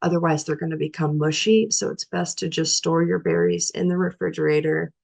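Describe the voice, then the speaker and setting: Nutrition educator, young woman, low and gravelly, hoarse from a cold; easygoing teaching manner. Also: brisk. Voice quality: raspy. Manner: relaxed, practical, with frequent "um" fillers. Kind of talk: informal library talk on cooking.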